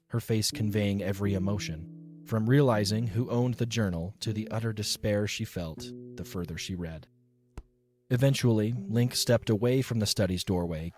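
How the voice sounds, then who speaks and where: A man narrating a scripted dramatic story in a measured, descriptive storytelling manner. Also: lowish voice.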